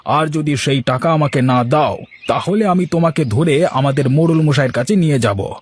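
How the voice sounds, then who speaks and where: A man's voice: a little high, normal speed, husky. Man playing a pushy village blackmailer. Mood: smug, demanding. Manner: pushy, demanding. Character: brazen male village blackmailer. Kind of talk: cartoon voice acting.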